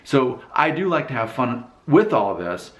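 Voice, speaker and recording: A man's voice: medium pitch, very animated, measured pace. Candid man talking to camera, echoey room. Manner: candid, emphatic, preachy asides. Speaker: YouTube channel host. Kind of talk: casual talking-to-camera monologue.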